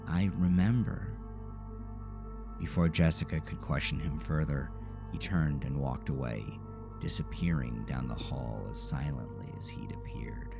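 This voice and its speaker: A man's voice: rumbling low, normal speed, vocal fry, music in the background. A man narrating a scripted psychological horror story as a dramatic narrator.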